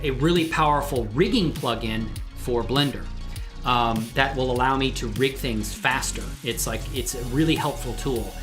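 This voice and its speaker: A man hosting a casual livestream chat, talking in an effusive, grateful manner with his viewers. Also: mid-range voice. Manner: effusive, excitedly whooping greetings to viewers, grateful. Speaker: live stream host and 3D animation creator.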